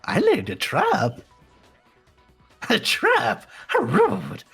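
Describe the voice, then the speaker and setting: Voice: medium pitch, huge pitch swings, extremely slow, vocal fry, a bit bright, breathy edge, soft background music, full character voice. A player voicing a mocking, gleeful male bear mastermind in an amateur game dub, with theatrical mock-offended outbursts.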